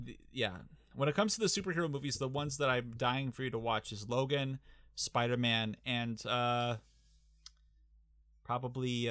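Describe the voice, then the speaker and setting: Halting young Midwestern man, dry close mic. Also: mid-range voice, fairly bright tone, no echo. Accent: Midwestern American. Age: young adult. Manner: halting, many fillers and restarts. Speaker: podcast co-host. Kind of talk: casual movie podcast chat.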